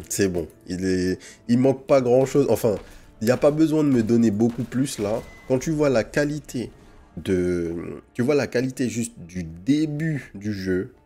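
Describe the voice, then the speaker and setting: A man's voice: medium pitch, warm tone. A man from French Guiana giving casual first-impression commentary on a video game, in informal slang sprinkled with English gaming terms. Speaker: gaming channel host. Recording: music behind the voice. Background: game music.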